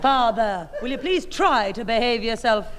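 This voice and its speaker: An actress playing a brisk, motherly, hospitable English housewife in a 1960s British radio comedy. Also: a little high, animated, fairly bright tone, slight background noise. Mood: scolding.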